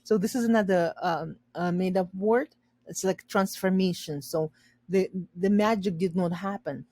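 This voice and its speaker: A woman reading a children's story aloud and pausing to explain words simply for learners. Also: fairly low pitch, darkish tone.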